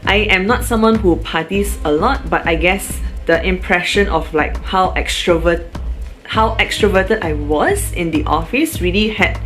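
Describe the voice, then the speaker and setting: A woman's voice: on the low side, expressive, measured pace, a bit bright. A young woman with a Malaysian accent, talking about herself in a casual, rambling vlog with lots of fillers. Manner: chatty, rambling with fillers and restarts. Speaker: vlogger. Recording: music playing underneath.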